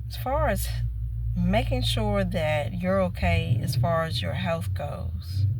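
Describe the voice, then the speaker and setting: Slow-spoken woman in her fifties. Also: on the low side, noisy background. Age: 50s. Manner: slow, reflective, earnest. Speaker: vlogger. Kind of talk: casual personal vlog.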